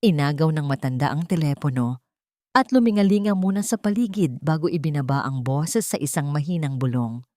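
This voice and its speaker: Low-voiced woman narrating a dramatic story. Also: animated, brisk, dark tone.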